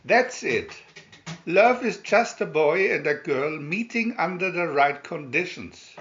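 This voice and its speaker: An impatient, blustering king from a fairy tale, acted out by a man reading aloud. He proclaims loudly and emphatically in a raised voice. The sound is slightly dull. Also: on the high side, animated, slow pace, pitched above the performer's own voice, heavily acted. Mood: excited, commanding. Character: blustering, impatient old king, loud and commanding.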